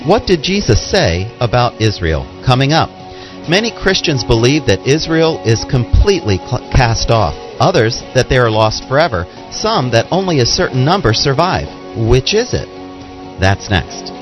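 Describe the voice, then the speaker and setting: A man's voice: medium pitch, sing-song, normal speed, over music. A man co-hosting a radio show, delivering scripted announcements and scripture readings. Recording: slightly dull, band-limited radio sound.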